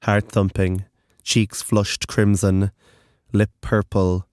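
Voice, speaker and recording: Horror narrator, mid-low androgynous voice, close dry sound, deliberate, intense, dramatic storytelling. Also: little pitch movement, a little mellow, dry recording.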